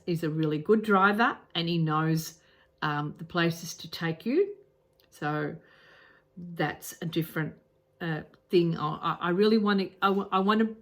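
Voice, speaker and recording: A woman with an Australian accent giving casual travel advice to camera, earnest, with frequent ums and restarts. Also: on the low side. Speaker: travel vlogger.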